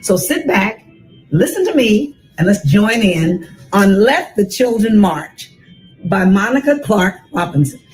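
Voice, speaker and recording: Slow, animated older American woman storyteller. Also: on the high side, low-quality recording. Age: 60s. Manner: dramatic, deliberate phrasing with emphatic repetition. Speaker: storyteller reading a children's book aloud. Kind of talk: expressive read-aloud storytelling for children.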